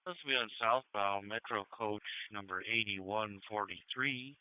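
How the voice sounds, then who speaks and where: A man's voice: on the low side, unhurried, a little hiss or hum. A man announcing fire and EMS dispatches in clipped phrases over a two-way radio. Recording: tinny narrowband fire radio feed.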